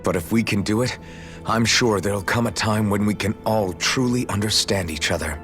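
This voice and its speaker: A male actor plays an earnest young hero in a game story. The voice is notably deep, with plain-spoken, sincere delivery. There is some music underneath. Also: moderate pace, a bit bright, natural voice. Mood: earnest, hopeful. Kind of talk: dramatic video game cutscene acting. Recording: music playing behind the voice.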